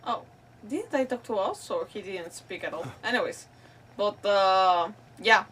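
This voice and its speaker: A woman's voice: medium pitch, a little slow, fairly bright tone, far from the mic, slight background noise. A woman chatting casually as she streams a video game. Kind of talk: casual gaming stream chat.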